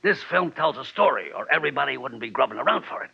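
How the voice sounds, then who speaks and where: An actor playing a bossy, money-hungry American detective agency boss, gravelly and wheedling, in 1940s radio style. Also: male voice, medium pitch, very animated, fast talker, exaggerated voice. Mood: scheming, eager. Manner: wheedling, pushy. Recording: dull, band-limited old radio recording.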